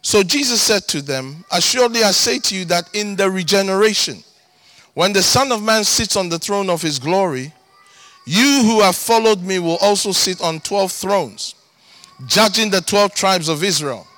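A man with a Ghanaian accent preaching a sermon as pastor, animated, breaking into shouts and sing-song emphasis. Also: sits high, normal speed.

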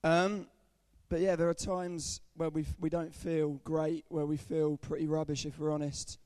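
A young man with a croaky, under-the-weather voice giving an earnest personal testimony at a church service, with frequent ums. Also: on the high side, level tone, moderate pace, warm tone, dry recording. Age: young adult. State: hoarse, croaky voice from illness. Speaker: student speaker at a church service.

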